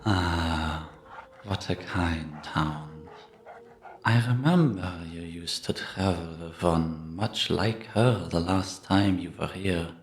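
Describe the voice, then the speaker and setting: Casting: serene, enigmatic priest, German accent, very deep and slow, reverberant audio drama sound. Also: male voice. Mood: gentle, knowing. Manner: unhurried, composed. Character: calm, mysterious priest at a ghostly ruined sanctuary. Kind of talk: cinematic audio roleplay acting. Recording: echoey stone-hall reverb, faint noise.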